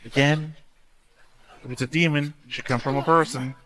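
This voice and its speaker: A man's voice: fairly high pitch, steady tone, normal speed, bright, forceful tone. Blunt man giving casual running commentary. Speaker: reaction video host. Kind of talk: casual off-the-cuff commentary while watching.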